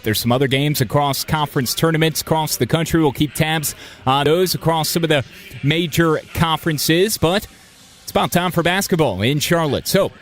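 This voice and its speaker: A man speaks from a radio studio in a smooth, scripted way before handing off to the game. His voice is a little higher than most men's. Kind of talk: network radio handoff.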